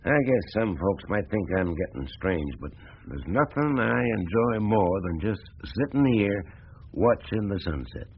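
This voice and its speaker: An actor playing a plain-spoken, aging ranch boss with a gruff, husky American voice in a radio drama. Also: male voice, lowish voice, animated, a little slow, a little hiss or hum. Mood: wistful, reflective. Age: older. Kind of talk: naturalistic radio drama acting. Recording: tinny narrow-band radio sound.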